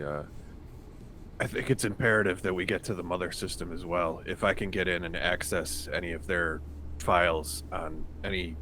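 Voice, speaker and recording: A man's voice: sits low, fairly bright tone. A man talking casually and a little hesitantly as a player in a tabletop roleplaying game. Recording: faint background noise.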